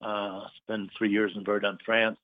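A middle-aged man from Puerto Rico, a business owner, chatting as an interview guest, halting with many fillers and pauses. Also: on the low side, little pitch movement. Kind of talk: casual interview chat. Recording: tinny phone line.

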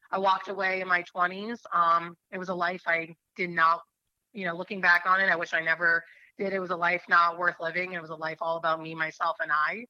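A woman's voice: lowish voice, pushed and bright, dry recording, highs cut off. A woman in her thirties from New Hampshire, an attorney telling her faith story in an interview, earnest and rambling with many fillers.

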